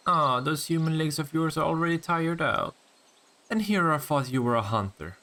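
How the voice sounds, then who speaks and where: A man's voice: fairly high pitch, moderate pace, pushed and bright. A man voicing a cocky, flirtatious vampire in a scripted roleplay audio drama, full of sardonic, teasing banter. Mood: mocking, flirtatious.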